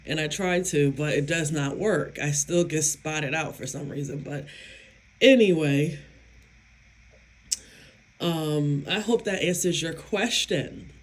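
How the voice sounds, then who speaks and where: Slow-talking woman with a hoarse, raspy voice. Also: deep voice. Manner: slow, emphatic, self-affirming, laughs often. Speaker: psychic medium vlogger. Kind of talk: casual talk to camera.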